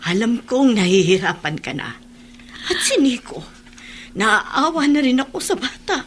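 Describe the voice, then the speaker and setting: A woman's voice: a little high, very animated, a little slow. Weary, kindly old Filipino woman. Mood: urgent, caring. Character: weary, kind old housekeeper, protective and resolute. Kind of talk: Tagalog radio drama acting. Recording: background noise and ambience.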